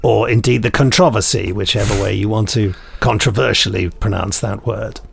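A man's voice: lowish voice, steady tone, moderate pace, gravelly, husky. A man hosting a podcast in casual, joking chat, with a wry, rambling manner. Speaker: podcast host.

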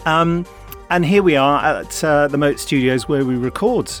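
A man's voice: on the high side, normal speed, soft background music. A man hosting a podcast in a recording studio, chatting casually and rambling with lots of restarts. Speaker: podcast host.

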